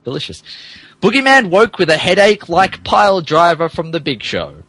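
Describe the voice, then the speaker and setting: Brief: male comedic fan fiction narrator, animated delivery, fumbled words and asides, dry sound. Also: sits high, moderate pace, dry recording. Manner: stumbles over words, mock-dramatic asides. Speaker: podcast host reading as narrator.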